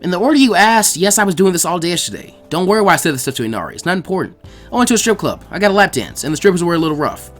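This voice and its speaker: A man's voice: high-pitched, big pitch swings, racing, fairly bright tone, faint music behind. A man voicing a brash, restless young boy ninja with a cheeky, defiant manner, in a story reading. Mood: sarcastic.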